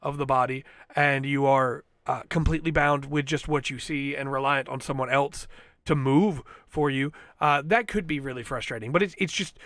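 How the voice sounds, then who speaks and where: Eager man reviewing, bright dry voice. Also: fairly high pitch, gravelly, dry recording. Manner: enthusiastic, rambling with fillers and restarts. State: slightly losing his voice. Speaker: podcast host reviewing an audio drama. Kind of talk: casual solo review.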